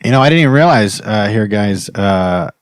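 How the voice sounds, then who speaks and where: A man's voice: on the low side, expressive, moderate pace, clean tone, no room sound. Man hosting comedy podcast, dry sound. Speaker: podcast host. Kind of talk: improvised comedy chat.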